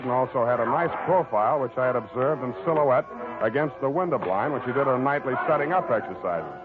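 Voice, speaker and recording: An American man acting a wry, weary hard-boiled private detective in an old-time radio drama, deadpan and sardonic. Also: medium pitch, lightly acted. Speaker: lead actor of a radio detective series. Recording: narrow, tinny old-time radio recording with music.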